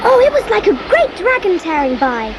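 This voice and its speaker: An imaginative young British girl in a storybook dramatisation. Her voice is extremely high and lilts up and down. She sounds earnest, eager and full of wonder. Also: wildly swooping pitch, moderate pace, noisy background, exaggerated voice. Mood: curious, wondering.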